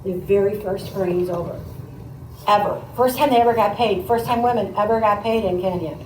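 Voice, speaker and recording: A woman teacher reading a picture book aloud, pausing to comment and put questions to her listeners in a conversational way. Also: mid-range voice. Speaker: teacher demonstrating a read aloud to pupils. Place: echoey indoor classroom. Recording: roomy, distant mic, faint background noise.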